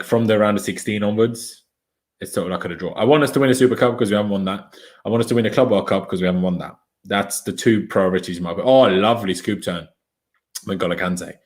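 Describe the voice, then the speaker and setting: A young man from Austria whose first language is German talks through a football match live for his stream. He is chatty and opinionated, calls the play, and reads viewer comments aloud as they arrive. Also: on the low side. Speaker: fan watchalong streamer. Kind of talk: casual live match commentary and chat reading.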